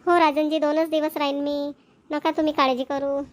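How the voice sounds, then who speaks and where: A woman voices a young bride from a cartoon comedy in a very high register. She is considerate and affectionate toward her family, and talks with the Varhadi accent of Vidarbha. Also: little pitch movement, moderate pace, lightly acted. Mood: caring. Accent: Varhadi Marathi, Vidarbha. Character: caring, dutiful young newlywed wife.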